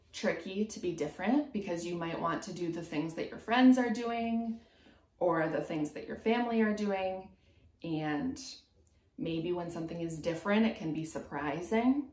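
A woman in her twenties reads a picture book aloud as a preschool teacher, pausing to put simple, encouraging questions to young children. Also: fairly low pitch. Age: 20s. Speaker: preschool teacher reading a storybook. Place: small room at home, indoors. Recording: roomy indoor echo.

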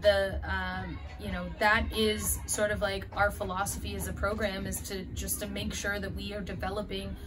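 A woman's voice: mid-range voice, flat delivery, normal speed, few pauses. Earnest woman coach, music behind. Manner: earnest, fillers and restarts, gestures while talking. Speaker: head softball coach. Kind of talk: postgame press interview. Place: indoor hallway by a window.

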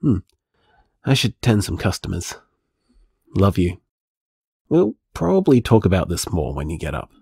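An actor playing a thoughtful, loving boyfriend in an audio drama, talking tentatively and reflectively in a voice message. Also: male voice, deep voice, wildly swooping pitch, measured pace, gravelly, long pauses, darkish tone, slightly rough, no room sound, clean studio sound, exaggerated voice. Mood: thoughtful, tender. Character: thoughtful, loving boyfriend weighing things carefully.

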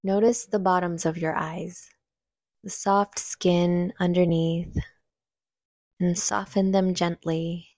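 Slow, low-pitched woman guiding meditation. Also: fairly even intonation, no room sound. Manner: gentle, unhurried instruction with long pauses. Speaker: meditation guide. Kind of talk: guided meditation narration.

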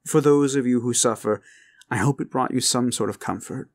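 Male host, gravelly mid-pitched voice, earnest informal address to the audience. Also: moderate pace. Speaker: audio roleplay creator and host. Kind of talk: informal heartfelt address to listeners.

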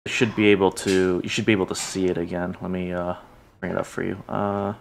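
A man's voice: sits low, flat delivery, a little fast, vocal fry. A man explaining things casually as game master of an online tabletop game. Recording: noisy online voice chat, keyboard clicks.

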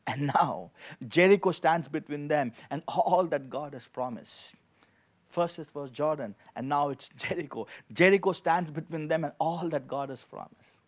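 A man in his forties preaching a sermon as a pastor, reading scripture and repeating key phrases with emphasis into a handheld microphone. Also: on the high side. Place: indoor studio with dark backdrop, at a lectern.

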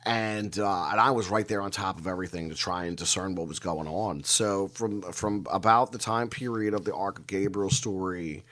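A middle-aged man telling stories as an interview guest on a video call, measured and given to dramatic pauses. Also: low voice, a bit bright. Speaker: guest storyteller and researcher of mysteries. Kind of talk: conversational storytelling interview. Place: indoor room, video call.

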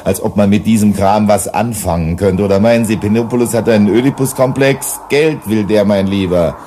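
Man acting blunt colony boss, low voice, gruff, self-assured, radio drama, slight noise. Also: brisk, dark tone, lightly acted. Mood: dismissive. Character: blunt hard-nosed mining company boss on Venus. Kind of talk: German radio play acting.

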